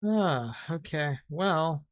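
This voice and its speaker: A man giving casual, low-key live commentary as he streams a video game. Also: lively intonation, drawn-out words, dry recording, muffled recording. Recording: game music behind the voice. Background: video game music.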